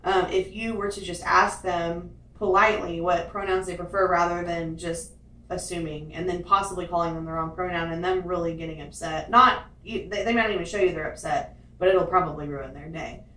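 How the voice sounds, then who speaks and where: A woman with a deep voice, chatting casually as a co-host in a frank, rambling way with many fillers. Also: fairly even intonation, brisk, bright, forceful tone. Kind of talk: casual chat. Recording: roomy, distant mic, faint noise.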